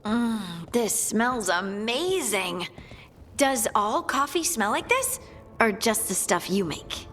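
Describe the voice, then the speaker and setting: Game casting: a young dwarven scout, earnest people-pleaser, slow and swooping, in a reverberant hall. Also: female voice, on the high side, very animated, fairly bright tone, soft background music, heavily acted. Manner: hesitant, apologetic. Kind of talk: video game cutscene acting.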